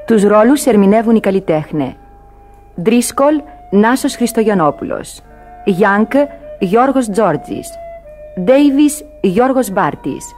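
A woman's voice: medium pitch, animated, a little mellow. A woman announcing a radio drama in a scripted, formal read. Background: music.

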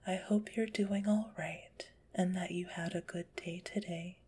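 A woman softly whispering a guided relaxation in an ASMR style, gentle and soothing, with a slightly stuffy nose. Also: fairly low pitch. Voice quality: breathy whisper; stuffy-nosed. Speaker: guided visualization narrator.

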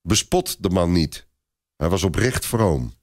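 A man's voice: low voice, animated, measured pace, no room sound, studio quality, heavily acted. A man voicing a stern, sombre medieval commander, curt and grave, in a storybook reading.

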